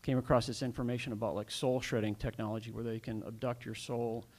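A man in the audience of a panel talk, asking a long question and telling his own experiences, with many pauses and fillers. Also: mid-range voice, flat delivery, darkish tone. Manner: hesitant, many fillers and restarts. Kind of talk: rambling personal account at a panel Q&A.

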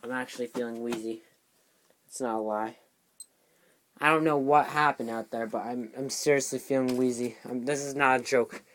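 Bright-voiced young man joking on a phone camera. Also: mid-range voice, fairly even intonation, moderate pace. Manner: jokey, dramatic exclamations, acting dizzy and wheezy. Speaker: vlogger. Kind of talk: playful homemade vlog, pretending to be in a game. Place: backyard and house, outdoors and indoors. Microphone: handheld phone camera.

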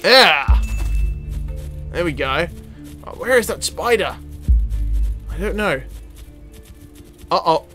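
Slow-paced man in casual gaming commentary. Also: high-pitched. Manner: excitable exclamations, sweary banter. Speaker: gaming video creator. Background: game music.

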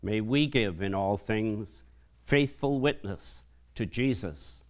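An older man, a priest, giving a measured, reverent homily at a church lectern microphone. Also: fairly low pitch. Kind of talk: homily at Mass.

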